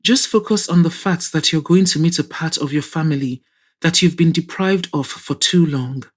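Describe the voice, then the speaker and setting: A woman reading the part of a calm, supportive, practical man comforting a friend in an audio drama. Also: deep voice, normal speed. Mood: reassuring, firm.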